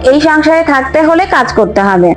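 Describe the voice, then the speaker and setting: A woman's voice: high voice, animated, brisk. A woman voicing a crafty, nagging elder daughter-in-law in a fairy-tale cartoon. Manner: nagging, assertive. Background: background music.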